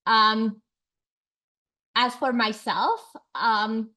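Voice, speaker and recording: Slow, earnest woman from Tanzania. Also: a little high, steady tone, bright, forceful tone. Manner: earnest, measured, reflective. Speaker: faculty researcher. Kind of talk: seminar talk. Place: seminar room.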